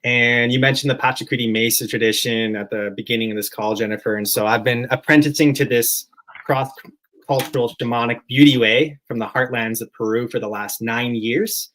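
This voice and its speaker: A man's voice: medium pitch, steady tone, normal speed, a bit bright, low-quality recording. A man, a musician guest, talking earnestly in a casual interview over a video call, with lots of filler words.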